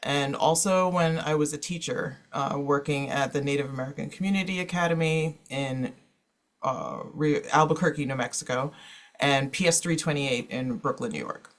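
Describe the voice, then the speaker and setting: A woman's voice: sits low, normal speed. Woman moderating an informal webinar panel.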